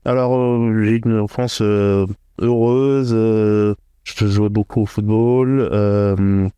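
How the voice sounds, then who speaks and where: A middle-aged man from northern France answering interview questions, thoughtful and hesitant, with frequent filler pauses. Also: lowish voice, fairly even intonation, warm tone, clear, resonant tone, no echo. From France, Hauts-de-France. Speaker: podcast guest, entrepreneur. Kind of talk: interview conversation.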